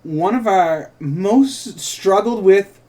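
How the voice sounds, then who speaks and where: A man with a fairly high voice hosts a casual script read. He narrates scene directions, then drifts into chatty explanations, often interrupting himself along the way. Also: slow pace, dark tone. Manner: rambling, self-interrupting explanations. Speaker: podcast host and co-writer reading stage directions of his own script. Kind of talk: informal script table read with chatty asides.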